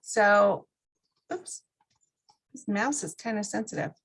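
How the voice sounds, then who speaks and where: A woman presenting an informal software walkthrough to a class, explaining things step by step in a conversational way. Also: mid-range voice, expressive, takes it slowly, husky.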